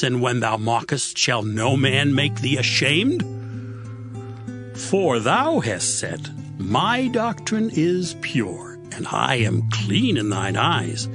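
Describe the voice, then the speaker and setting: An actor playing a stern, self-assured accuser in a dramatized scripture reading, admonishing solemnly with rhetorical questions and exhortation. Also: male voice, medium pitch. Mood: stern rebuke. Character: Zophar, stern self-assured accuser preaching repentance to a sufferer. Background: guitar music.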